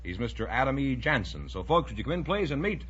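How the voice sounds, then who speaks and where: An American man announces quiz contestants quickly and politely, with lively intonation. He sounds formal, on a muffled vintage radio recording with faint noise. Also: mid-range voice. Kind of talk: introducing contestants.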